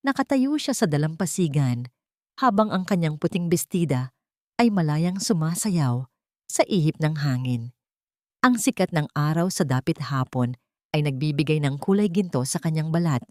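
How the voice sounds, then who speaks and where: A woman reads a scripted dramatic story as an audiobook narrator, in an earnest, sentimental and measured way. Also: deep voice, darkish tone, no room sound, studio quality.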